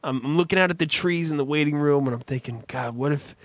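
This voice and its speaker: A man hosting a crude comedy podcast, raspy from a recent cough, cursing and rambling through stories. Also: on the high side, fast talker, dry recording. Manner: profane, rambling storyteller. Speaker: podcast host.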